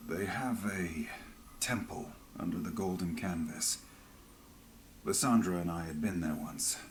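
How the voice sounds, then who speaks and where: Tired jaded old rebel fighter for a fantasy game, deep and somber, some background noise. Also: male voice, measured pace. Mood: grave, instructing. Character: weary, disillusioned male rebel veteran, grave and resigned. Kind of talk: dramatic fantasy game voice acting.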